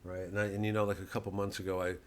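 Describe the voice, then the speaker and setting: A man giving a rambling, digressive comedian's monologue, halting and sardonic, peppered with casual filler phrases. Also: sits low, flat delivery. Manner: sardonic, mocking, profane when worked up. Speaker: comedian promoting his show.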